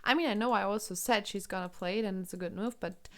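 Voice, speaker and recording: A woman's voice: mid-range voice, quick pace, fairly bright tone. A woman from Germany with a German accent, commentating chess on a live stream, thinking aloud and answering chat questions.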